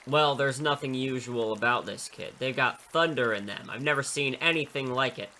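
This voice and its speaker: Young superhero man acting, audio drama; confident, forceful tone, proud delivery, light background ambience. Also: medium pitch, normal speed, slight background noise, natural voice. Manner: assured, declarative.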